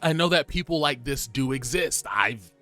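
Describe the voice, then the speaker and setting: Animated rambling man in his twenties. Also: mid-range voice, normal speed, faint music behind. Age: 20s. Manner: rambling and animated, with frequent fillers and laughs. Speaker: vlogger. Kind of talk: casual talk to camera.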